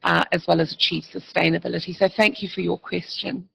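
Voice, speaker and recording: A male panelist with a high, strongly gravelly voice speaks in formal policy terms. His delivery stays flat in pitch and halting, with frequent ums and restarts. The tone is bright and edged. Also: rough recording. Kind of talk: panel discussion.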